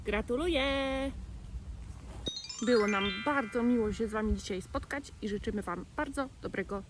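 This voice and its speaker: A woman's voice: medium pitch, moderate pace, loud music behind the voice. A woman hosting a quiz for children, asking playful questions, with a little background noise.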